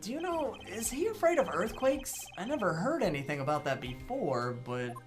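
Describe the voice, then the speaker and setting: A woman's voice: medium pitch, very animated, normal speed, over loud music, lightly acted. A woman playing a wry young defense lawyer in a video game fan dub, sardonic and casual. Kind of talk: visual novel game fan dub acting.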